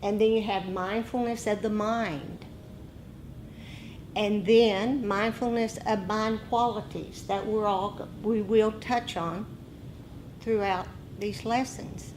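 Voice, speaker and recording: A woman teaches meditation in a dharma talk. She speaks very slowly, in short deliberate phrases with pauses. There is steady background noise in the recording. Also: mid-range voice, rough recording.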